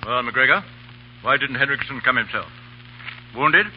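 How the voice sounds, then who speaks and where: An actor playing a stern, rigid older colonel in a 1930s radio drama, curt and imperious, with a husky voice. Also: male voice, mid-range voice, moderate pace, slight background noise, lightly acted. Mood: stern, indignant. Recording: muffled 1930s radio broadcast.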